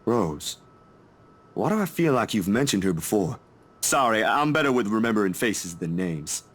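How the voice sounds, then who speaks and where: A man acts out a protective, concerned male friend in a visual novel. His mid-to-natural voice moves widely in pitch, earnest and soothing. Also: sing-song, moderate pace. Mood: concerned, puzzled.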